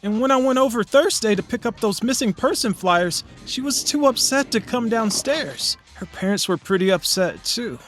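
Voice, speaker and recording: An actor playing a friendly, chatty high school teenager in a conversational audio drama. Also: animated, normal speed, few pauses, natural voice. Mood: earnest. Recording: music under the dialogue.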